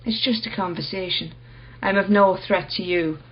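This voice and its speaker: A woman playing a reserved, quietly probing art gallery owner in a radio play, measured and understated. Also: on the low side, lo-fi sound, close to an everyday voice. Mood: gently probing.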